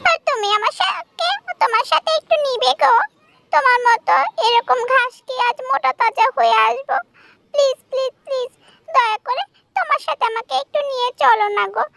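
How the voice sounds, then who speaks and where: A performer voicing a naive, stubborn little goat kid in a children's cartoon, squeaky and childlike, with a wheedling manner. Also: moderate pace, creaky, bright, forceful tone, heavily acted. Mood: pleading. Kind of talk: children's animated story dialogue.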